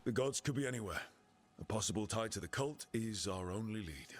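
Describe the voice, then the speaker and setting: An actor playing a resolute, caring young adventurer who leads a team in a video game, speaking earnestly and directly. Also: male voice, on the low side, animated, a little fast. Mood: businesslike, determined. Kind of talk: video game cutscene acting.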